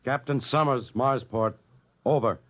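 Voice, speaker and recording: An actor playing a beleaguered spaceship captain who gives clipped orders, in old-time radio drama style. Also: male voice, medium pitch, a little slow, heavy background noise, natural voice. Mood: anxious, urgent. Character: beleaguered spaceship captain struggling to keep authority. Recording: dull lo-fi old radio recording.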